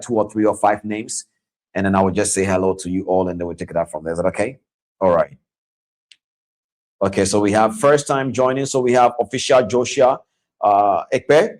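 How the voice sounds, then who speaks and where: A man's voice: fairly low pitch, rough recording. A man hosting a live-stream trading masterclass, chatting and repeating himself as he greets viewers by name. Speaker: trading coach and host. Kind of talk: live-stream masterclass with audience shout-outs.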